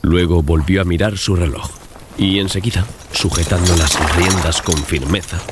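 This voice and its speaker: A man narrating a gothic story as a scripted, dramatized audiobook, in a measured, literary storytelling manner. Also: deep voice, fairly even intonation, heavy background noise. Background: music bed.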